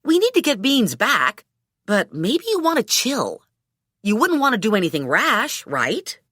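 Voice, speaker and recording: A woman's voice: a little high, wildly swooping pitch, measured pace, fairly bright tone, dry recording, studio quality, pitched above the performer's own voice, exaggerated voice. A woman voicing a wry, teasing, sensible female character in audiobook dialogue. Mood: cautious. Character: wry, sensible woman calming her hot-headed male friend.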